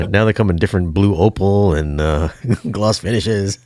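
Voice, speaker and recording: A man's voice: sits low, unhurried, warm tone, dry recording. A man hosting a casual interview, speaking informally in short reactions and questions. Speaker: host.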